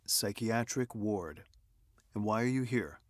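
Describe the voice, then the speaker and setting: Measured psychiatrist, dry sound. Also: male voice, on the low side, expressive, no echo. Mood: probing, wry. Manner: measured, dryly questioning. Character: calm, probing male psychiatric ward doctor. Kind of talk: radio drama acting.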